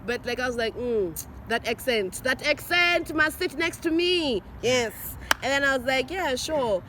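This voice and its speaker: A woman's voice: lively intonation, measured pace, sharp tone. A young woman from Botswana with a Botswana accent, playfully telling how she met her boyfriend and teasing him as she acts out what each of them said. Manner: playful, teasing, acts out quotes with big pitch swings. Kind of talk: casual storytelling chat. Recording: noisy outdoor ambience.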